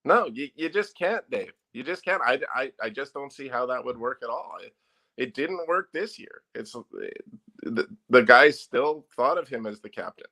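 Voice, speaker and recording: A man who is a newspaper sports editor, guesting on a sports talk show. His voice is bright and forceful, and he states his opinions firmly and emphatically. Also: medium pitch, lively intonation, normal speed.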